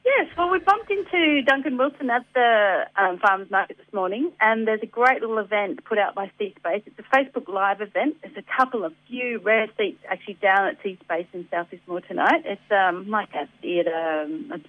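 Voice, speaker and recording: An Australian woman with a slightly gruff voice from a head cold chats about local events, full of ums and easy laughter. She comes through a tinny phone line with a dry sound. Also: mid-range voice, moderate pace, hardly any pauses, no room sound. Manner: chatty, laughs easily, many ums. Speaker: environment centre guest on community radio.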